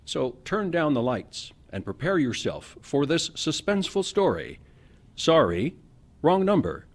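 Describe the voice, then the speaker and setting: A man announcing a radio drama in a scripted, theatrical and deliberate style. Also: fairly high pitch, big pitch swings.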